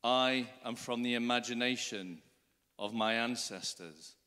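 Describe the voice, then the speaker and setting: Bright-voiced man reading poetry slowly. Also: medium pitch, a little hiss or hum. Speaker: poetry reader. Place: wood-panelled concert hall stage. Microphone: handheld mic. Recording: echoey concert hall.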